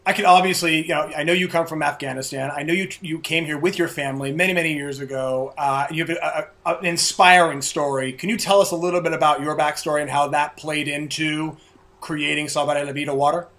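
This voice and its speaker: A man's voice: on the high side, fairly even intonation, fairly quick, sharp tone. A man hosting an interview, speaking emphatically and repeating words for effect. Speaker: interview host.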